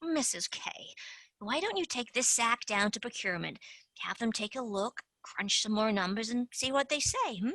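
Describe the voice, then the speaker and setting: A woman's voice: a little high, expressive, moderate pace, pushed and bright, dry recording, full character voice. A woman playing a brash, scheming female tooth fairy agent in a stage play. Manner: brash, wheeling-dealing.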